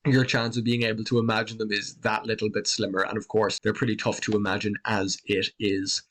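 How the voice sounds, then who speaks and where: A man with an Irish accent calmly explaining the night sky in a conversational, educational voice-over. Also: medium pitch. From Ireland. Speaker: astronomy presenter.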